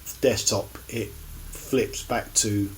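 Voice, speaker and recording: A man giving a casual, unscripted show-and-tell to camera as a record collector, in a halting, rambling way with many pauses. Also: fairly low pitch, fairly even intonation, a little mellow, slight background noise, low-quality recording. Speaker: record collector presenting finds on his channel.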